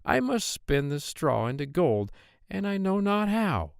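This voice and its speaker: A man's voice: sits high, very animated, measured pace, gravelly, smooth, no room sound, studio quality, voice pushed up, full character voice. A man voicing a poor, sorrowful young maiden in a storybook, speaking in a plaintive, delicate way. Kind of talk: storybook character voice.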